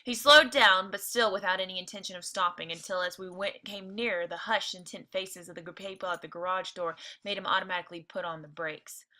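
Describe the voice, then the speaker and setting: A woman's voice: lowish voice, fairly even intonation, brisk, few pauses, sharp tone. A woman teacher reading a novel aloud expressively, breaking off for informal explanatory asides. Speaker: teacher reading along with listeners.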